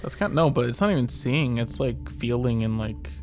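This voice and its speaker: A man's voice: medium pitch, moderate pace, a little mellow, faint music behind. A man narrating a visual novel aloud and chatting casually in a let's-play.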